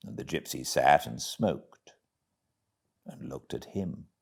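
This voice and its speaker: A British man narrating a classic storybook as an audiobook reader, with expressive delivery. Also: a bass voice, slow, creaky, breathy edge. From Britain.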